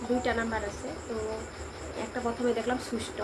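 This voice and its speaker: A woman's voice: a little high, level tone, fast. A woman vlogger chatting casually to her viewers, recorded on a phone with noise behind her. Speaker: vlogger hosting a prank call video. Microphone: phone camera.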